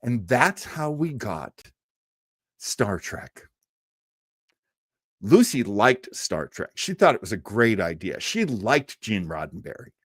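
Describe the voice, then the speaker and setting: A man's voice: on the low side, sing-song, takes it slowly, long pauses, dry recording, studio quality. An American man talking casually to livestream viewers as a show host, telling stories with a fan's enthusiasm and emphatic repetition. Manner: enthusiastic fan storytelling. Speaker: host of an old-time radio show; performer.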